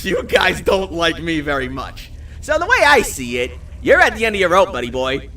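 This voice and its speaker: A man's voice: fairly high pitch, wildly swooping pitch, moderate pace, sharp tone, distant mic, exaggerated voice. A man voicing a cocky, foul-mouthed supernatural hunter in an audio drama, sarcastic and taunting. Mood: taunting, menacing. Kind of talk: dramatized audio fiction dialogue.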